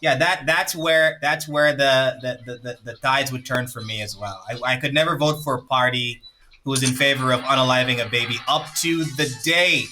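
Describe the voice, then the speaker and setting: Excitable man from Jamaica with bright voice. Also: medium pitch, normal speed, soft background music. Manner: excitable, repeats himself, laughs often. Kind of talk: casual live-stream chat.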